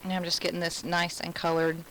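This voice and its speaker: A woman's voice: fairly low pitch, monotone, brisk, fairly bright tone, a little hiss or hum, poor audio. A woman from Alabama with an Alabama Southern accent, hosting a live crafting class from home and chatting in rambling asides while she works. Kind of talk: live-streamed crafting tutorial.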